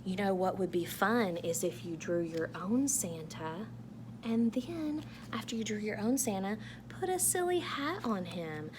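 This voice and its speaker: Woman reading to children, clear background noise. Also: mid-range voice, lively intonation, unhurried. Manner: engaging, asks the listeners questions. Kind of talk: picture-book read-aloud with casual asides.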